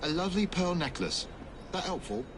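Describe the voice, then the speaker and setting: A helpful pawnbroker in a game cutscene. His pitch moves lively through clipped, matter-of-fact phrases. Street noise is clearly audible behind him. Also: normal speed. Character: terse, helpful male pawnshop keeper.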